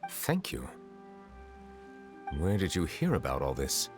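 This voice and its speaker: A man playing a dignified, reserved chief justice in a video game, speaking with formal, measured diction. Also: deep voice, huge pitch swings, music in the background, heavily acted.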